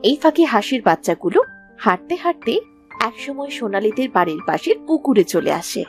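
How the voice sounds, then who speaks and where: High-voiced woman story narrator. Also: very animated, brisk, soft background music. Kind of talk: scripted children's story narration.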